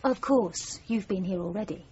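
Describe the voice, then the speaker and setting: An actress playing a composed, wry young dancer in a radio drama, with a cool, reserved way of talking. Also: female voice, medium pitch, normal speed, a little mellow, slightly rough. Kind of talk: naturalistic radio drama acting. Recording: dull, noisy old radio broadcast.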